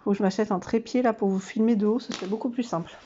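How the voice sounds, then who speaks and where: A woman's voice: mid-range voice, steady tone, very fast, mellow, muffled recording, slight background noise. A woman vlogger with a stuffy, nasal voice from a cold, chatting casually and self-mockingly through her monthly challenges with lots of fillers.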